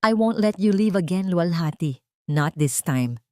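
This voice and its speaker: Audio story male lead, read by a woman: rich, regretful, determined lover, soft dark tone. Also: fairly low pitch, expressive, a little slow, no room sound, clean studio sound. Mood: earnest. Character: remorseful, determined rich man in love.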